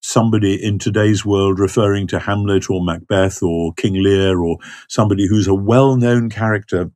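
Hesitant older man, low voice. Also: fairly even intonation, moderate pace, a little mellow, dry recording. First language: not Hebrew. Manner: hesitant, many ums and stammered restarts. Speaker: biblical scholar guest. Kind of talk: thoughtful conversational explanation.

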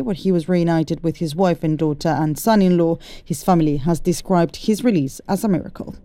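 A woman presents a radio news bulletin with steady, clear delivery. Her tone is warm and mellow. The studio sound is dry and close. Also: fairly low pitch, dry recording. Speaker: newsreader. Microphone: studio mic.

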